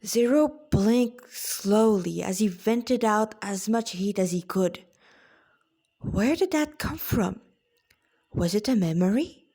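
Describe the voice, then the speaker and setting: A woman, an author reading her own story in a dramatic, deliberate way with informal asides. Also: mid-range voice, darkish tone.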